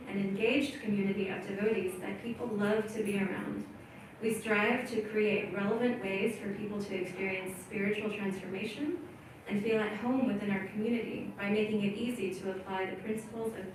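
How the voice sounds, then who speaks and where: Woman speaking far off in an echoey hall. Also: medium pitch. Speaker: speaker at a community town hall. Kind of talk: closing remarks and reading aloud a vision statement. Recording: echoey hall, distant, with clear room noise.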